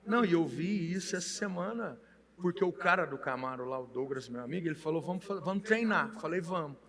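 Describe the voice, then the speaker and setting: A man's voice: fairly high pitch, fairly quick, in a large hall. A pastor in his forties from Minas Gerais, speaking with a Minas Gerais accent, telling his story in a folksy, joking way as an interview guest. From Minas Gerais, Brazil. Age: 40s. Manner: folksy, jokey, animated storytelling.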